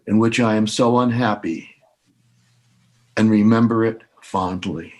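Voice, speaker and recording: A man with a slightly low voice speaks at an informal poetry reading. He goes slowly and haltingly, leaving pauses between his phrases. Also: rough recording. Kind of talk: informal introduction and poetry read aloud.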